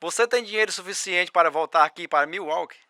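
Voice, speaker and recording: A man's voice: high-pitched, fast, bright, forceful tone, no room sound. An actor playing a concerned, helpful man on a telephone call in a radio drama.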